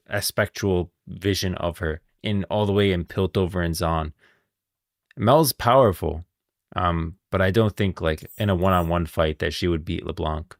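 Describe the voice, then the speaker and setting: A man's voice: deep voice, no echo, studio quality. A man giving casual, opinionated live-stream commentary, thinking aloud with emphasis.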